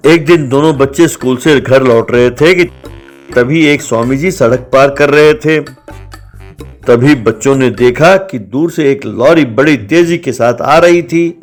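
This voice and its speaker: A man reading a scripted moral story as its narrator. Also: fairly high pitch. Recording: music behind the voice. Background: background music.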